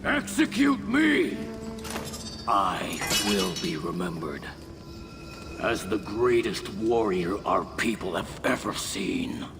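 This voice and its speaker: An actor playing a proud, defiant samurai warlord in a video game, commanding and pompous in manner. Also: male voice, low voice, sing-song, slow, creaky, heavily acted. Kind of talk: dramatic game cutscene acting. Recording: loud music behind the voice.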